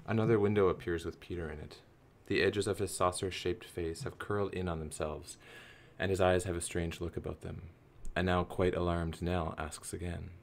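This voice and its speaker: A man's voice: low-pitched, flat delivery, moderate pace, gravelly, hardly any pauses. A man reading scripted narration for a radio play.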